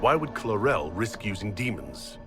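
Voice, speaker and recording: An actor playing a stern heroic commander in a fantasy video game, speaking in a blunt, challenging way. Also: male voice, fairly low pitch, very animated, moderate pace, over music. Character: stern heroic male commander confronting villains.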